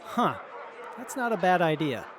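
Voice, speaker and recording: An actor playing a polite, excitable and easily swayed young man in an audio drama, eager and deferential. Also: sits high, a little slow, a crowd in the background, noisy background. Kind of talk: post-apocalyptic audio drama acting.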